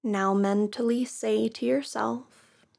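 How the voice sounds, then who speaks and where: A woman teaching yoga nidra, guiding a relaxation practice in a soft, soothing way with long pauses. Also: fairly high pitch, fairly even intonation, gravelly. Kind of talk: guided meditation.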